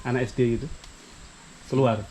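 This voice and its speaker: A man's voice: mid-range voice, level tone, moderate pace, mellow, slight background noise, poor audio. Inquisitive man chatting as a village vlogger. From Java, Indonesia. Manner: inquisitive, repeats answers back. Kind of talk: casual on-location chat.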